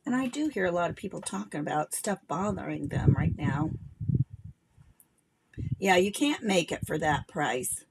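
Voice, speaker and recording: Gravelly-voiced middle-aged woman. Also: fairly low pitch, expressive, moderate pace, pauses often, noisy background. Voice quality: gravelly, gruff voice. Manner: chatty and rambling, thinking aloud while working. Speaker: craft livestream host. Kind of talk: casual craft demo chat.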